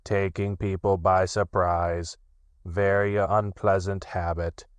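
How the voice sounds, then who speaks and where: A man voicing a gloomy, sulky old male donkey with a slow, heavy, mournful drawl. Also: low-pitched, level tone, clear, resonant tone, no room sound. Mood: gloomy, put-upon.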